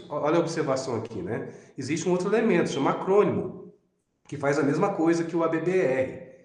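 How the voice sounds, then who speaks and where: Brazilian man teaching in an echoey room. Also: fairly high pitch. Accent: Minas Gerais Portuguese. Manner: relaxed, repeats "né?" and "tá?" often. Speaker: web programming teacher. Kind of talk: recorded lecture over slides.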